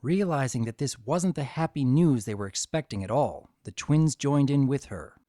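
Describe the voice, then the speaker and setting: A man's voice: mid-range voice, animated, normal speed, dark tone, dry recording, studio quality. A man reading a story aloud as a narrator, in a dramatic scripted storytelling style. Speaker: narrator of a fan fiction story.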